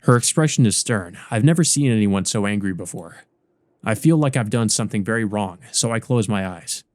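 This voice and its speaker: A man's voice: on the low side, lively intonation, a little fast, darkish tone, no echo, clean studio sound, lightly acted. Man reading as a weary teenage boy narrator. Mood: subdued. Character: weary, gravely wounded teenage boy narrating his own story. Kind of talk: first-person story narration.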